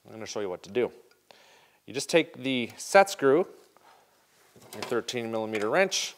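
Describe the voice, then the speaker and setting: A man's voice: medium pitch, slight background noise. A man in his forties hosting a casual, upbeat how-to demonstration in an indoor garage workshop, talking straight to viewers on a lapel mic. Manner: upbeat, direct, talks to viewers as "you guys". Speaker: show host demonstrating snowmobile parts.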